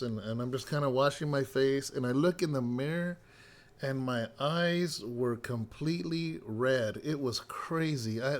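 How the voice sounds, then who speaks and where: A man with a slightly hoarse, raspy voice, still getting it back after a cold. He speaks at a plain middle pitch, talking loosely and personally to his congregation, with lots of "um" and "you know". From California. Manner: relaxed, rambling storytelling with many fillers. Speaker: pastor. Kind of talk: casual spoken devotional update.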